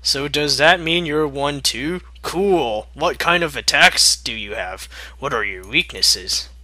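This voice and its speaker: A man voicing an excitable, brash young female cartoon pony in a story reading. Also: a little high, takes it slowly, dry recording, exaggerated voice. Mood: excited. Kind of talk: fan fiction character reading.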